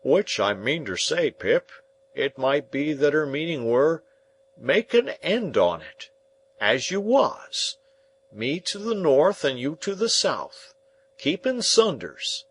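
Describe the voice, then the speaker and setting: A man voicing a slow, kindly working-class blacksmith, speaking with rustic grammar in a ponderous, emphatic way. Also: fairly high pitch, big pitch swings, a little hiss or hum, voice pushed up, exaggerated voice, rough recording. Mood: earnest.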